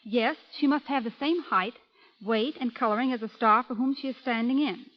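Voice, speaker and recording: Woman, high voice, formal scripted radio guest, muffled 1930s broadcast sound. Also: normal speed. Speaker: film stand-in and guest. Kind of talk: scripted radio interview with product testimonial.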